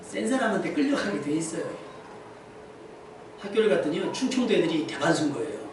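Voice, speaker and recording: A high male voice rings through a heavily echoing church, caught at a distance from the mic. He is a pastor delivering a sermon, asking the congregation questions and repeating key phrases to drive them home. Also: big pitch swings, a little fast. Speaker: pastor preaching. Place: indoor church service. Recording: very echoey church hall, distant mic, faint noise.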